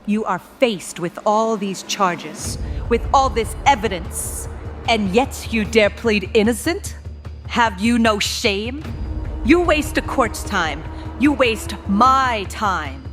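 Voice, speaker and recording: A woman's voice: mid-range voice, animated, slow, a bit bright, faint music behind. A woman voicing a cold, imperious insect queen with a regal, stern drawl in an audio story. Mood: scornful.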